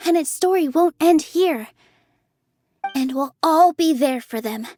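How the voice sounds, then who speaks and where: A gentle young dancer in an anime game. Her voice is high, sincere and determined, with faint music behind it. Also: animated, unhurried. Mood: thoughtful, earnest.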